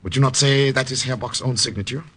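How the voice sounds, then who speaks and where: A man's voice: mid-range voice, quick pace, fairly bright tone, natural voice. A man acting in an old-time radio drama as a menacing, smug Gestapo commander, mock-polite and sardonic. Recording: old radio recording with hiss, clicks.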